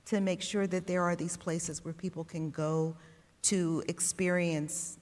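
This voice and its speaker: A woman's voice: on the low side, steady tone, measured pace, darkish tone. A woman planner on a panel, thinking aloud with fillers and rhetorical questions. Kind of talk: panel discussion. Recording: long reverb of a large hall.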